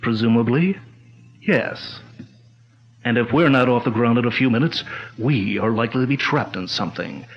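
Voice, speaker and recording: A man playing a calm, commanding crime-fighting detective in a 1940s-style radio drama, speaking in a measured, explanatory way. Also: medium pitch, husky, faint music behind, close to an everyday voice. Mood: calm, explaining. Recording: dull lo-fi recording with loud hiss.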